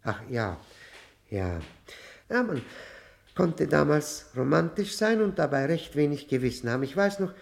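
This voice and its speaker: An actor playing a bitter, educated middle-aged convict in a 1960 radio drama, halting, reflective and ironic in manner. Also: male voice, a little high, big pitch swings, creaky, exaggerated voice. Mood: rueful, agitated. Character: bitter, brooding, educated male convict looking back on youth.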